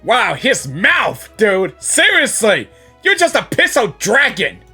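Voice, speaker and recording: A woman doing casual, excitable game reaction commentary, slangy and playful. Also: mid-range voice, slow pace, husky, soft background music. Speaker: gaming reaction streamer.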